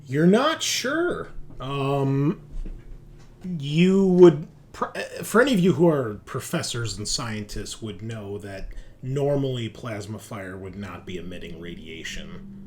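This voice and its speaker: A man narrating a tabletop roleplaying game as game master, in a halting, explanatory way. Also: mid-range voice, expressive.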